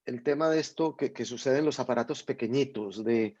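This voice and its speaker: A man's voice: medium pitch, fairly quick, a little mellow, no room sound. Colombian man musing hesitantly on a video call. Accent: Colombian (Medellín). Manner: thoughtful, many restarts and repeated words. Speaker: guest writer. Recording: dull lo-fi video call audio.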